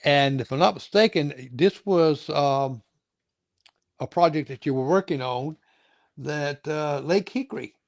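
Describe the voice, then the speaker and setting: Host, male, from North Carolina, slightly high voice, dry close mic, rambling and chuckly. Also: measured pace, vocal fry, no echo. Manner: rambling, chuckling often, many restarts. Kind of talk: casual interview chat.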